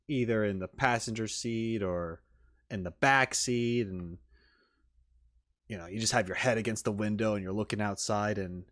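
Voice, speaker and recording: Enthusiastic man rambling casually. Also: mid-range voice. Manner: rambling, informal; enthusiastic, emphatic. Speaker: reaction video host. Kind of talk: casual unscripted reaction commentary.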